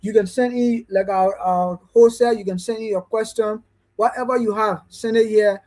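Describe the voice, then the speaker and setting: A man's voice: high voice, steady tone, normal speed, darkish tone. A man who co-hosts the show, making upbeat announcements to the viewers of a live online show. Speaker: co-host.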